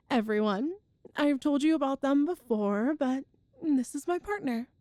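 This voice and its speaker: A woman's voice: high voice, expressive, takes it slowly, dry recording, clean studio sound. A woman playing an affectionate, playful girlfriend from a ghost family in an ASMR audio roleplay, chatty and tender. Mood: warm, amused. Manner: chatty and tender, with soft laughs and sighs.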